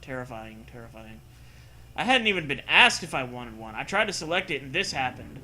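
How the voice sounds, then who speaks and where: A man's voice: medium pitch, very animated, a little fast, pushed and bright, slight background noise. A man co-hosting a show, reading a story aloud in mock-serious style with sarcastic, profane asides.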